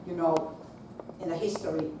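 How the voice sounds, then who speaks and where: A middle-aged woman with a Finnish accent, a school principal giving a talk in a large lecture hall without a microphone, projecting her voice in a lively, chatty way. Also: low-pitched, moderate pace. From Finland. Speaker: conference speaker, school principal. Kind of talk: presentation to an audience. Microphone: far camera. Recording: echoey lecture hall from far off, noisy.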